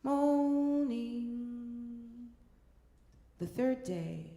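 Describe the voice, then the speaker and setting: A woman narrating a literary story as a live reading, with measured, deliberate phrasing and pauses. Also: mid-range voice, vocal fry, darkish tone. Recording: echoey hall with long reverb.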